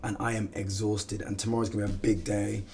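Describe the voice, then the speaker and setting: A young man from the West Indies, chatting casually in a vlog, animated and self-mocking, swearing and saying "like" a lot. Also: fairly low pitch, fast, slight background noise. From Guyana and the West Indies. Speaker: vlogger and outreach coach. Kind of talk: casual vlog talk to camera.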